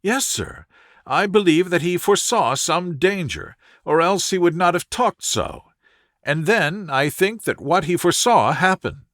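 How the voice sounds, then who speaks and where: A man's voice: high voice, lively intonation, measured pace, a bit bright, no echo, studio quality, voice pushed up. A man voicing a distressed, loyal young woman with an earnest, plaintive manner in an audiobook story. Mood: anxious. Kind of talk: audiobook character dialogue.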